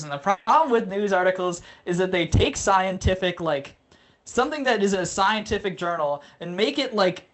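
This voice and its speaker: A young adult man talking as a guest in a casual conversation, with a loose manner full of "like" fillers. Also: high-pitched. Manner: casual, filler-heavy with frequent "like". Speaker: guest, social media creator.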